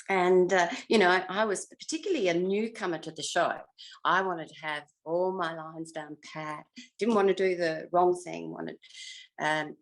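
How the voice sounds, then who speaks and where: An older woman with an Australian accent reminiscing in a casual interview, hesitant as she searches her memory. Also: on the low side. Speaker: interviewed former actor.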